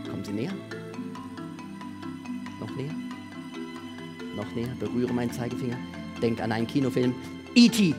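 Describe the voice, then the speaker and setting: A man in his forties presenting a live magic act on a theatre stage, with playful, showmanlike patter and deliberate pauses. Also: mid-range voice, animated. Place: large theatre stage with seated audience. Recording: music playing behind the voice. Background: music.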